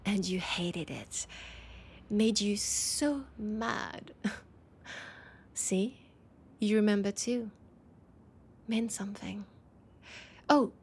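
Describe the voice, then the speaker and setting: A woman with a French accent playing a teasing, nostalgic, centuries-old vampire in an intimate audio roleplay, her breathy voice often dropping to a whisper. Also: medium pitch, a little hiss or hum. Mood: playful, tender. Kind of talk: intimate audio roleplay, one-sided dialogue.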